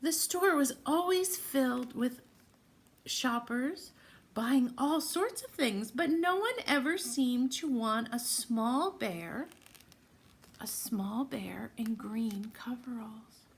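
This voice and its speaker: A woman's voice: on the high side, slow pace. A woman reading a storybook aloud to young children, encouraging them and putting questions to them directly as friends. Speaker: children's read-aloud presenter.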